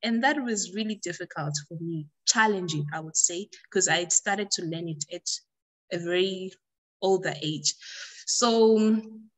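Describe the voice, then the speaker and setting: A woman's voice: lowish voice, a little slow, rough recording. South African woman explaining things conversationally. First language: Xhosa. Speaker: language tutor being interviewed. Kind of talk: conversational interview answers.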